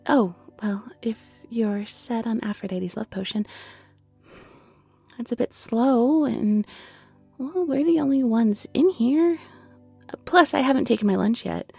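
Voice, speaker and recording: A woman's voice: on the high side, lively intonation, measured pace, vocal fry, long pauses, warm tone, slightly rough, no echo, faint music behind, exaggerated voice. A woman in her twenties playing a shy, flustered half-fairy tea shop keeper in an audio roleplay, with a nervous, stammering, giggly and apologetic way of talking. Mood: hesitant, hopeful. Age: 20s. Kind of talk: scripted audio roleplay, intimate and conversational.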